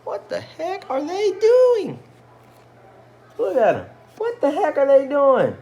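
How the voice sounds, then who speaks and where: A man's voice: very high-pitched, wildly swooping pitch, slow pace, a little mellow, pitched above the performer's own voice, full character voice. A man voicing baffled, gawking village neighbors from a storybook, exclaiming incredulously.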